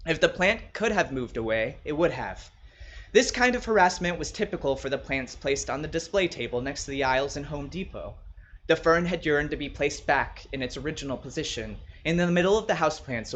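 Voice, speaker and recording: A man's voice: on the high side, fairly bright tone. A man reading his own short story aloud as a scripted narration.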